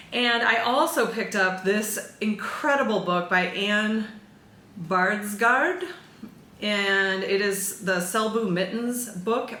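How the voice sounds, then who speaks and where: A woman hosting a knitting podcast, chatting casually to camera in an excitable, rambling way. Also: mid-range voice. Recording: echoey room with faint background noise.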